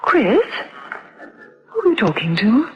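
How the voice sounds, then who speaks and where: A soft, earnest young mother who narrates and frets, old radio drama, lo-fi. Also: on the high side, wildly swooping pitch, takes it slowly, faint music behind, heavily acted. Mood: anxious. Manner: soft, earnest, hesitant when worried. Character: anxious young foster mother, gentle and protective. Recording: dull lo-fi old radio recording.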